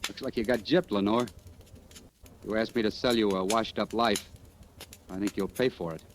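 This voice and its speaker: A man's voice: fairly low pitch, steady tone, moderate pace, close to an everyday voice. An actor playing a cool, sardonic accused man in a 1940s American radio drama. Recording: noisy old 1940s radio recording.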